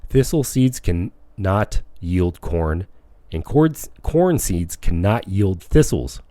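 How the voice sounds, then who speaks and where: A man with a low-pitched voice reads a self-improvement book aloud. He moves through it phrase by phrase with measured emphasis. The sound is dry and close, with very little room on it. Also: warm tone, no room sound. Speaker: audiobook reader. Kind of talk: audiobook narration of a self-help book.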